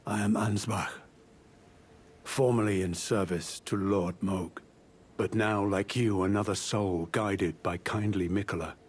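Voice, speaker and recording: An actor playing a courteous, world-weary old knight turned scholar in a video game, speaking in formal, measured, archaic phrasing. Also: male voice, fairly low pitch, fairly even intonation, slightly rough. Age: older. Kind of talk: video game character dialogue.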